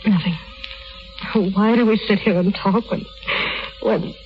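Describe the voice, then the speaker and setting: A determined, plain-spoken duchess played by a woman in a vintage radio drama. She speaks earnestly and haltingly, with emotion close to the surface. The old broadcast sound is muffled, with music faintly behind it. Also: medium pitch, steady tone, lightly acted. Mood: anxious, wistful. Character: plain-spoken, lovelorn, determined young duchess of common birth. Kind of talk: 1930s radio acting.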